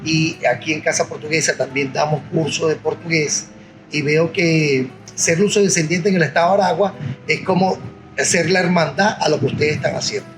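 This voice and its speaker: Rambling Venezuelan man, slightly high voice. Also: steady tone, normal speed, over music, rough recording. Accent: Venezuelan Spanish. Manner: rambling, repeats words and fills with "este". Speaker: interviewee, singer of Portuguese music. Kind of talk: casual interview answers.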